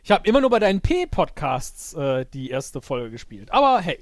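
Guy guest on a podcast, pretty high voice, rambly and self-mocking, dry recording. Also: big pitch swings, normal speed, no echo. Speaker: podcast guest, improv audio-play player. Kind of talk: casual chat.

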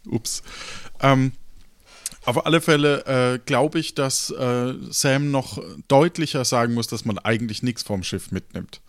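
A male host chats casually in a dry, close recording. He thinks aloud and feels his way hesitantly through his points, with a medium-pitched voice. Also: slow pace, dry recording. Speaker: podcast host and game leader. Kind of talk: casual group debrief after an improvised audio play.

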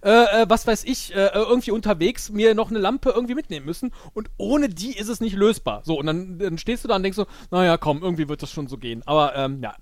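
A man in an excitable, casual debrief chat who acts out his remembered thoughts as he talks. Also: high-pitched, fast talker, hardly any pauses, no room sound, clean studio sound. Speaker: podcast guest and improv player.

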